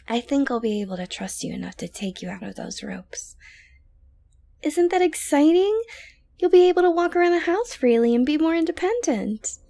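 A woman playing an obsessive, sweet-talking yandere girlfriend in an intimate ASMR audio roleplay, coaxing softly with breaths and near-whispers. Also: on the high side, slight background noise. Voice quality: soft, breathy, often dropping to a whisper. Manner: coaxing, cooing, falsely gentle, hesitant.

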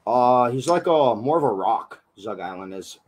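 A young man hosting a casual online radio interview from a small room, his voice hoarse and husky from illness, laid-back and swearing freely. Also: mid-range voice, expressive, moderate pace, darkish tone, a little hiss or hum. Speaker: radio show host. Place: small dim room with colored lights.

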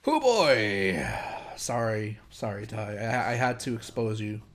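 A man's voice: fairly low pitch, sing-song, slow, a bit bright. A man doing casual, joking, sweary commentary on a video game he is playing. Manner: jokey, sweary. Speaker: let's play commentator.

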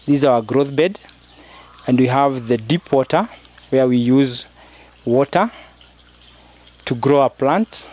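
A middle-aged man with a Kenyan accent, giving an instructional demonstration in a halting, repetitive way as he works. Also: mid-range voice, darkish tone. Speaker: project researcher giving a demonstration. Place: inside a greenhouse. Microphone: clip-on lapel mic.

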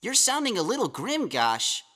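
A woman's voice: mid-range voice, huge pitch swings, moderate pace, a bit bright. A woman voicing a sarcastic, fiercely loyal little male guardian creature in a cartoon, snappy and incredulous. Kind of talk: animated web series dialogue.

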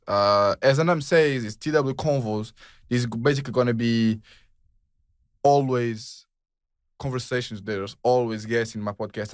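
A man's voice: on the low side, no echo, clean studio sound. A man in his twenties from Portugal, hosting a podcast in a casual, rambling way with slang and lots of fillers. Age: 20s. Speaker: podcast host. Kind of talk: casual chat.

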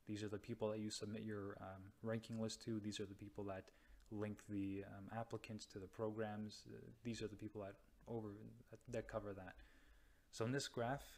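A young man from North America, a radiology resident, explaining slides slowly with many pauses and restarts. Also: lowish voice, little pitch movement, a little mellow. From United States or Canada. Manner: slow, hesitant, many ums and restarts. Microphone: voice-over to slides.

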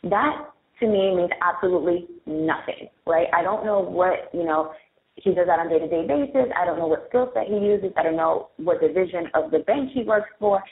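A woman's voice: fairly low pitch, moderate pace, echoey room. A young woman with a Spanish and New York Bronx accent, candidly explaining branding tips step by step as an interview guest. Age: young adult. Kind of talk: interview answers. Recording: tinny phone-like audio.

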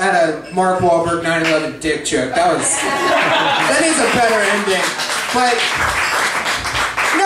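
A man doing profane stand-up comedy on a pub stage, ranting and laughing at his own jokes. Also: very high voice. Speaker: stand-up comedian and guest host. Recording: echoey pub, distant, crowd noise.

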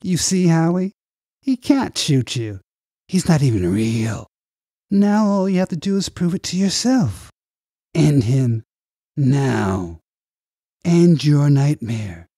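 Man voicing a condescending villainous director, husky and higher-pitched. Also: big pitch swings, takes it slowly, pauses often, warm tone, no room sound, clean studio sound, exaggerated voice. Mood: manipulative, urging. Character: smooth-talking, manipulative villainous male project director.

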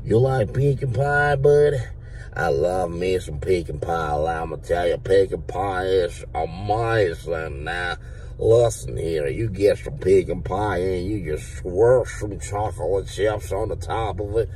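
A man's voice: low-pitched, a little slow, a little mellow, far from the mic, a little hiss or hum. A man in his thirties, seated in a car and talking into a phone, voicing a blustering redneck who claims to be a veteran, with a put-on Southern drawl and rambling, absurd talk. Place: inside a parked car. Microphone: phone held at the mouth.

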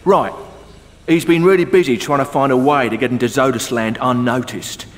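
A man's voice: mid-range voice, fairly even intonation, normal speed, close to an everyday voice. A man playing a gossipy, streetwise prison inmate in a video game, telling grisly stories and testy about interruptions. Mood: conspiratorial. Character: gossipy, irritable male prison inmate spinning grisly tales. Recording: long echoing reverb, faint music.

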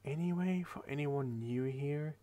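A tired young man hosting a late-night ASMR video, rambling in a soft half-whisper into a headset microphone at his computer desk. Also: a little high, measured pace. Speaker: channel host.

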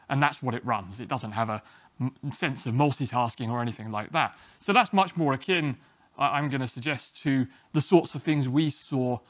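A man, giving a relaxed, self-deprecating technical talk at a small meetup, often restarting his sentences. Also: a little high, no room sound. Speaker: conference speaker. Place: small meeting room, indoors.

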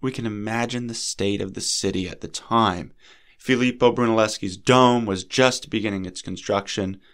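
A man hosting a podcast, narrating art history in a measured, lecturing way, his voice a little scratchy and sniffly from a cold. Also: lowish voice, a bit bright. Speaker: podcast host.

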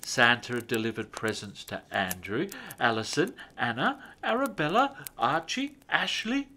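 A man reading a children's story as a storyteller, with expressive, measured phrasing and drawn-out words. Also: medium pitch, slight background noise. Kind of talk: scripted children's story narration.

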